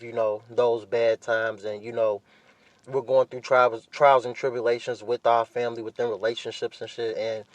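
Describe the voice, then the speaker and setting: A man's voice: mid-range voice, dry recording. An American man hosting a podcast solo, talking casually and giving his opinions to listeners in slangy, often profane language. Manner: informal slangy talk with frequent profanity. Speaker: podcast host.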